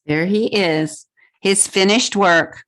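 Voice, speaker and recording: A woman hosting a children's storytime, reading a picture book aloud expressively and pausing to put questions to young listeners. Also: medium pitch. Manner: expressive, deliberate, simple phrasing with questions to children.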